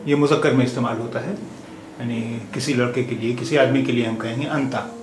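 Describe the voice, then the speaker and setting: A man giving a language lesson as a teacher, repeating phrases deliberately in a didactic way. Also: mid-range voice, fairly even intonation, crowd noise. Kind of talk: spoken language lesson with slow repetition. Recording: echoey room with clear background noise.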